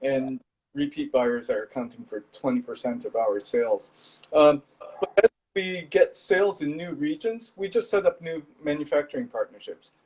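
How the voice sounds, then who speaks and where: A man from Indonesia, a startup founder, explaining his business in a measured way over a video call, with some fillers. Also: a little high, darkish tone, poor audio.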